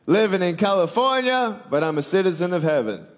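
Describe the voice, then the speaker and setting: A man's voice: high-pitched, big pitch swings, a little fast. A man in his twenties with an Australian accent, giving a joking, motivational sermon to a live audience and calling for responses. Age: 20s. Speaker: evangelist speaker. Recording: long hall reverb.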